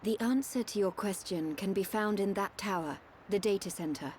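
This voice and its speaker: Calm female game guide played by an actress, mid-pitched, formal and measured, faint hiss. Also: natural voice. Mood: grave.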